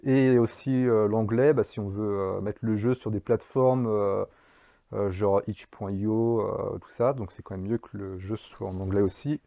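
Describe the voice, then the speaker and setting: Chatty man with a low mellow voice. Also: steady tone, unhurried, creaky, dry recording. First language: French. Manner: chatty, many fillers and restarts. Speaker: indie game developer. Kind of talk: casual devlog narration.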